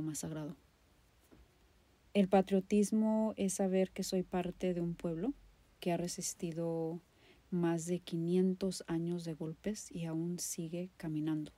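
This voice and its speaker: A woman's voice: fairly low pitch, warm tone, no echo. A woman with a Mexican accent hosting a podcast, delivering a personal, poetic monologue in an impassioned, emphatic way with dramatic repetition. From Guadalajara, Mexico. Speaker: podcast host.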